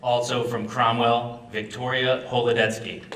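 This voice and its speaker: A man's voice: mid-range voice, little pitch movement, measured pace. A man making announcements and calling out names at a ceremony. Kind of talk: announcements and roll call of names. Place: large echoey indoor ceremony room. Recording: echoey, distant, loud noise.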